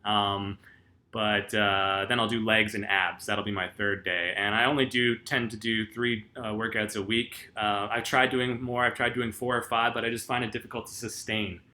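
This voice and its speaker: Very bright-voiced casual vlogging man. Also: lowish voice, level tone, normal speed, low-quality recording. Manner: rambling, lots of ums and uhs; self-deprecating and laid-back. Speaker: YouTuber answering viewer questions. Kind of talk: casual Q&A vlog talk.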